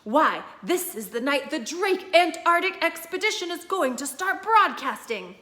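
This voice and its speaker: A woman's voice: sits high, sing-song, measured pace, sharp tone, echoey room, pitched above the performer's own voice, heavily acted. A woman reading aloud, voicing a cheerful, dreamy man who talks eagerly. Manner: eager, enthusiastic.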